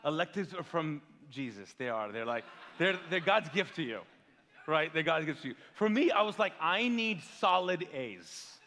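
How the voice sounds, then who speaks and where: A male pastor raised in Kuwait whose first language is Urdu, preaching with lively storytelling and rhetorical questions. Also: on the high side, normal speed, big-room sound, slight background noise. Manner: animated storytelling, big swings in loudness, rhetorical questions. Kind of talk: sermon. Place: large church hall.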